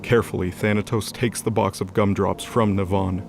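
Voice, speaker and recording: A man's voice: on the low side, fairly even intonation, normal speed, background noise. A man narrating a scripted fantasy audio drama as its storyteller. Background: music bed.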